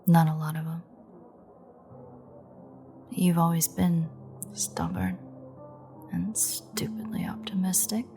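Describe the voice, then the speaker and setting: A woman's voice: on the low side, big pitch swings, slow pace, pauses often, mellow, faint music behind. A woman playing a sardonic, threatening female vampire with a secret fondness, in a wry, teasing, half-whispered audio roleplay. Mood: wry, wistful. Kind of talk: intimate audio roleplay acting.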